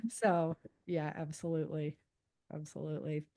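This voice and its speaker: A woman's voice: deep voice, flat delivery, moderate pace, dark tone. A middle-aged American woman giving conversational interview answers in an earnest, emphatic and informal way. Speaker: podcast guest, author and self-defense teacher.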